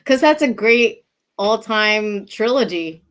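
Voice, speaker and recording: A woman's voice: mid-range voice, animated, slow pace, fairly bright tone. A woman from New Jersey whose first language is Japanese, a realtor being interviewed, giggly and a little coy as she answers. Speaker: guest realtor. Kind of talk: casual interview chat.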